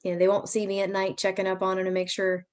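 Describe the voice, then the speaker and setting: A woman's voice: mid-range voice, monotone, quick pace. Chatty woman hosting a video call. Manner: friendly, chatty, reassuring. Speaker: pre-college program staff host. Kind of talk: casual virtual information session.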